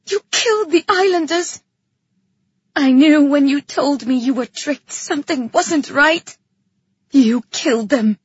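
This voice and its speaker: Woman acting anguished, husky, defiant young heroine. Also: high-pitched, animated, moderate pace, no room sound, highs cut off. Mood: hysterical accusation. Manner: emotional, accusing, intense.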